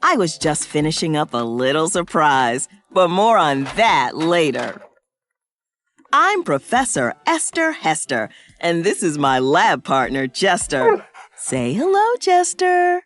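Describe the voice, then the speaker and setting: Wildly animated, cheerful cartoon science professor. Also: female voice, low-pitched, full character voice. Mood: cheerful, playful. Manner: exaggerated, sing-song, slow and clearly explanatory. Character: cheerful, excitable woman science professor for young children. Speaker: presenter of a children's science cartoon. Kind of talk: scripted educational cartoon narration.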